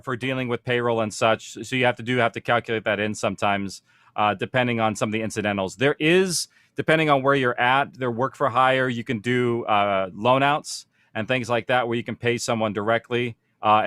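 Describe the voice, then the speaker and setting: Man, mid-pitched, informal panel host and explainer, very little room sound. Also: a bit bright, dry recording, clean studio sound. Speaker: panel host, audio production producer.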